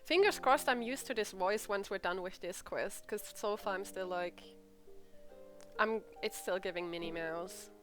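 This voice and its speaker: A woman's voice: medium pitch, a little slow. Casual joking woman streamer over music. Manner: informal, joking, blunt. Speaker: game streamer. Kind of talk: casual playthrough commentary.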